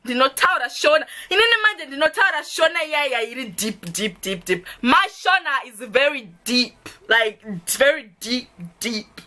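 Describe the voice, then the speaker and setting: A woman's voice: sits high, animated, moderate pace, pushed and bright. A young woman from Zimbabwe with a Zimbabwean accent, chatting playfully to her viewers in a vlog and laughing often. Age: young adult. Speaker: YouTuber. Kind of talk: get-to-know-me vlog.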